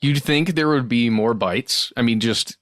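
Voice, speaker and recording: A man's voice: medium pitch, measured pace, fairly bright tone, no room sound, studio quality. A man co-hosting a podcast in casual banter, playful and tongue-in-cheek. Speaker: podcast co-host. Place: remote recording, dry close sound.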